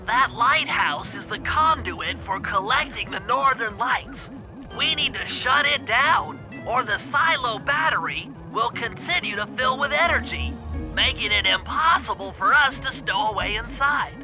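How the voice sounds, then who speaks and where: A man's voice: very high-pitched, lively intonation, a little slow, bright, forceful tone, heavily acted, low-quality recording. A man voicing a brainy, earnest cartoon animal gadget expert in a video game, explaining things in precise technical terms. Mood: earnest, instructive. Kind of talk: video game cutscene voice acting. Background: background music.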